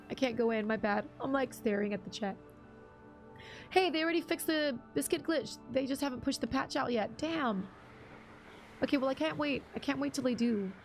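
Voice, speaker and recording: Chatty gravelly woman streamer, high voice. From half English, half Bruneian. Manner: chatty, reads chat aloud, frequent ums. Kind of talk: casual live-stream chat while gaming.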